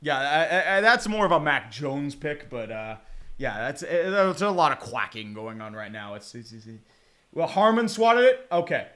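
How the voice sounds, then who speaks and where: A man's voice: fairly high pitch, big pitch swings, moderate pace, fairly bright tone. A man giving casual, profane live fan commentary on a football game, calling plays and rambling with exasperated restarts. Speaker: fan play-by-play streamer.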